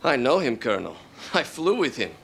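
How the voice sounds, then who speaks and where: An actor playing a warm, sly Russian fighter pilot with a Russian accent, talking in halting short phrases. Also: male voice, medium pitch, sing-song, gravelly, a little hiss or hum, rough recording. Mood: earnest.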